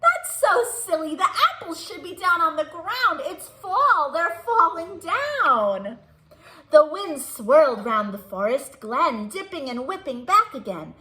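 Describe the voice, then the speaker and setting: A woman with a high, bright voice reads aloud to young children at an unhurried pace. She is sing-song and animated, putting simple questions to her listeners. The room gives her voice an audible echo. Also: slight background noise. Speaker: children's librarian hosting musical storytime. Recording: roomy echo.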